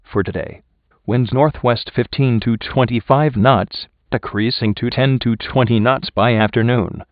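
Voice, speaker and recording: A man's voice: mid-range voice, measured pace, no echo. A synthetic man's voice reading weather bulletins mechanically and impersonally, on a muffled radio recording. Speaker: automated weather radio announcer.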